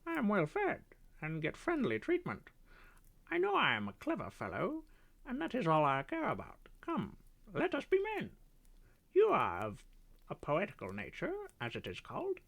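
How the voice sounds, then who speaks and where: A woman's voice: fairly low pitch, huge pitch swings, moderate pace, gravelly, pitched above the performer's own voice, full character voice. A woman voicing a boastful, chattering male pet parrot with a smug, mocking manner and a squawked refrain.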